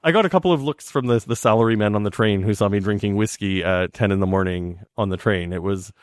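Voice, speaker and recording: A man's voice: fairly low pitch, quick pace, clear, resonant tone, no room sound, clean studio sound. A man in his forties co-hosting a podcast, telling stories in a chatty way with frequent ums. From Canada. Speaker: podcast co-host. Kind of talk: casual chat.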